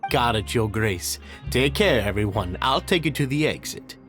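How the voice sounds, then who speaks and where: An actor playing a courteous, deferential society leader in a video game, formal and eager to please. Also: male voice, mid-range voice, animated, normal speed, over music, natural voice. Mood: courteous, warm farewell. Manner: formal, effusively polite.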